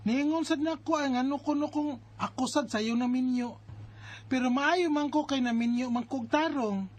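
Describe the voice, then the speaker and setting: A woman acting a bossy, concerned married elder sister in a Bisaya radio drama, with an expressive, nagging and exasperated delivery. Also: high-pitched, moderate pace. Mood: defensive, scolding. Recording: music bed under the voice. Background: background music.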